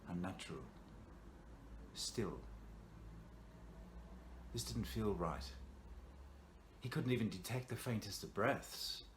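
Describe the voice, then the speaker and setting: A man reading a scripted horror story in a theatrical, suspenseful way with dramatic pauses, as an actor would. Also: on the low side, noisy background.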